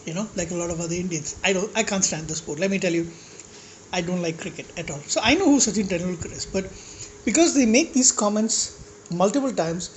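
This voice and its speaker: A man vlogging with a slightly high voice, talking casually and bluntly. He rambles with restarts and asides, and curses when exasperated. A faint hiss sits under his voice. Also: fairly quick, poor audio. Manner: blunt, exasperated, swearing at critics. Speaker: food vlogger. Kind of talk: casual rant to camera while eating.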